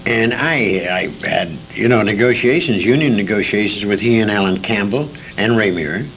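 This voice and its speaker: A man's voice: fairly low pitch, normal speed, fairly bright tone. An older man, a former radio actor, reminiscing as an interview guest in a rambling, self-correcting way on a noisy amateur recording.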